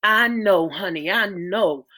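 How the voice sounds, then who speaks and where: A bossy, folksy mother of five barks orders and scolds her girls, as a woman puts her on. Her voice is bright and her words come out slow and weighty. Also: medium pitch. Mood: urgent. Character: stern churchgoing mother of five daughters.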